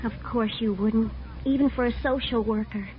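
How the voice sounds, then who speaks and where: A woman's voice: on the high side, steady tone, moderate pace, natural voice. An actress playing a brassy, feisty woman who stands her ground, in 1950s radio drama style. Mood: indignant, bossy. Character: brassy, evasive working-class young woman; bossy protective wife. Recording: muffled, slightly noisy 1950s radio recording.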